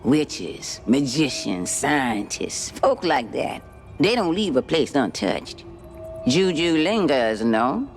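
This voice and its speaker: A woman's voice: a bass voice, sing-song, slow pace, faint music behind, exaggerated voice. An actress playing a sly, ancient, folksy swamp witch in a video game, with a Cajun accent and a teasing, cryptic drawl. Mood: mysterious storytelling. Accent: Louisiana Cajun. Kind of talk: video game cutscene acting.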